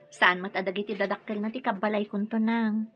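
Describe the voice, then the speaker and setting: An actress with an Ilocano accent playing an earnest young daughter in love in a radio drama. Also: female voice, medium pitch, steady tone, brisk, husky, lo-fi sound, soft background music, natural voice. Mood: earnest, pleading.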